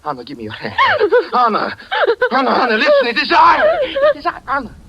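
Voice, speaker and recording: A man's voice: very high-pitched, wildly swooping pitch, a little fast, pushed and bright, muffled recording, heavily acted. An actor in 1940s radio acting style, playing a haunted man who breathlessly recounts his own murder. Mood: pleading. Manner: intense, breathless dramatic narration.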